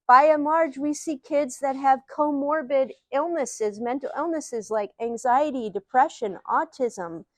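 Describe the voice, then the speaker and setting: Higher-pitched Midwestern American woman, dry sound. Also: dry recording. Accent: Ohio Midwestern American. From Ohio, USA. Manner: earnest, uses rhetorical questions. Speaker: speaker, psychologist. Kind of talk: live teaching talk to an audience.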